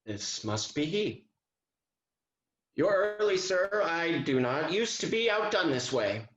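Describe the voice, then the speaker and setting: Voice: mid-range voice, sing-song, slow, a bit bright, dull audio. Swooping, echoey, swaggering young gallant acted out. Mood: challenging. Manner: declamatory, grandiose. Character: swaggering, ardent young gentleman soldier in period comedy. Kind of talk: theatrical Restoration play acting.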